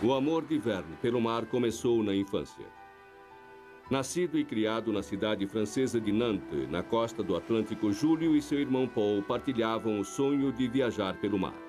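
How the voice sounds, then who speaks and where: Man narrating a documentary voice-over over soft music. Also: on the low side, expressive, moderate pace.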